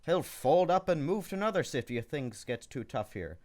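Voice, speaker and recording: A man acting a streetwise newspaper reporter in a community radio drama, blunt and excitable. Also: on the high side, a little fast, close to an everyday voice. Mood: worked up. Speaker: radio actor. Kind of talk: old-time radio drama.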